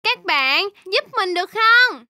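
A woman's voice: extremely high pitch, expressive, takes it slowly, very noisy, heavily acted. A woman voicing a young baby shark in a children's cartoon, sweet, earnest and easily frightened, speaking straight to young viewers. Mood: earnest, pleading. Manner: exclamatory, addresses young viewers directly.

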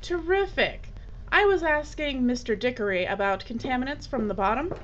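Woman playing a brisk, high-voiced film crew boss. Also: expressive, fairly bright tone. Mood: probing. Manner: brisk, businesslike, probing. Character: shrewd, bossy female documentary film crew leader. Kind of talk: audio drama acting. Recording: dull, noisy audio.

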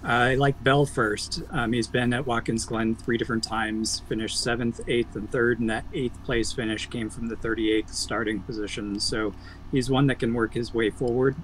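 A man analysing racing for a betting preview over a video call, measured and stat-heavy with the odd um. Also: medium pitch, fairly even intonation, slight background noise, low-quality recording. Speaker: motorsports analyst guest.

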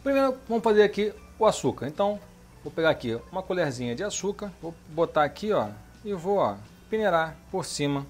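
A man's voice: high voice, animated, a little fast, a little mellow. A middle-aged man hosting a home cooking show, chatting casually as he demonstrates a recipe in his kitchen and checking in with the viewer. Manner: chatty, keeps asking "beleza?" and "tá?".

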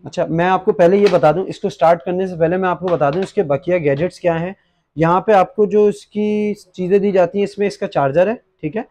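A young man with a Pakistani accent presents products for a gadget shop. His voice sits a little higher than average for a man. He explains each feature as he goes, dropping English words into his speech. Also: steady tone, fast, darkish tone. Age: young adult. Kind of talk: unboxing and product demonstration. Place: small indoor gadget shop studio at a desk.

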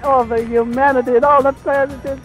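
Distraught male newsman, high-pitched, vintage 1930s live radio report. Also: level tone, fairly quick, soft background music, poor audio. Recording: old 1930s archive radio recording.

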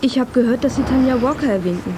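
A woman's voice: sits high, quick pace, lightly acted. A woman playing a coy, inquisitive young woman in a radio play. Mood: curious. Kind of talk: German radio play acting. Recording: clear outdoor ambience noise, cassette.

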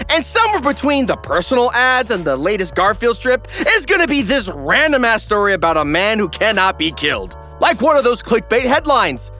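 Guy doing a sarcastic comedy recap, super animated, bright punchy voice, faint music under. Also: extremely high pitch. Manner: theatrical, sarcastic. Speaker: narrator, parody recap host. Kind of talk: scripted comedic narration.

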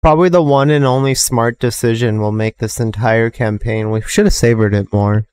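A man's voice: mid-range voice, steady tone, moderate pace, a little mellow, clean tone. A man playing in a tabletop game session, wry and joking. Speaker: player.

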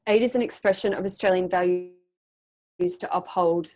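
A woman's voice: lowish voice, normal speed, darkish tone. A young woman on a video call, coaching a group in an encouraging way and reading a prepared text aloud. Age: young adult. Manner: encouraging, affirming. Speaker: facilitator leading a group practice exercise. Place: small indoor room, on a group video call. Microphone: computer microphone on a video call.

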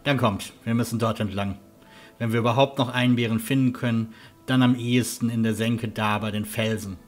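A practical fantasy huntsman, acted by a man, friendly and matter-of-fact. He talks calmly at a middle pitch over faint music. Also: brisk, lightly acted. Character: friendly, down-to-earth male hunter guide Jannis. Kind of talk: fan-made fantasy audio drama acting.

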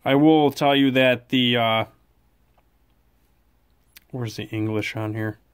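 A man's voice: medium pitch, fairly even intonation, slow, clear, resonant tone. A man giving a casual, off-the-cuff product review at a desk, often correcting himself as he goes. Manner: chatty, off-the-cuff, with self-corrections. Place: indoors at a desk.

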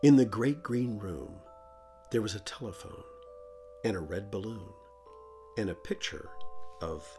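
A man's voice: on the low side, expressive, a little slow, long pauses, warm tone, soft background music. A middle-aged man who hosts a children's show, presenting and reading stories aloud for kids.

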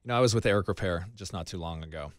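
Expressive American male radio host, lowish voice. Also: rapid-fire, no room sound, studio quality. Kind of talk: conversational radio interview. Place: small radio studio. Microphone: broadcast boom microphone, headphones.

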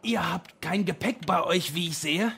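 An actor playing a devoted, flustered old manservant in a radio play, deferential and stammering, with a creaky voice. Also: male voice, high voice, normal speed, pushed and bright, slight background noise, heavily acted. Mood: fussing, attentive.

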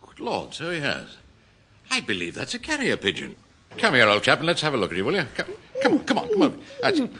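Bumbling, deferential British office man, very animated and bright-voiced, for an old radio sitcom. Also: on the high side, brisk, exaggerated voice. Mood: pleasantly excited. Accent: British English. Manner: fussy, genteel, stammering deference. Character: genteel, bumbling middle-aged male civil servant, eager to please. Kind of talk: 1960s radio situation comedy acting. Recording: slightly noisy vintage radio recording.

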